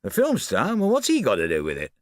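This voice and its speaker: A man voicing a blunt middle-aged police inspector in an audiobook, brisk and familiar. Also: medium pitch, huge pitch swings, no room sound, studio quality, voice pushed up. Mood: incredulous. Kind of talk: audiobook character voice.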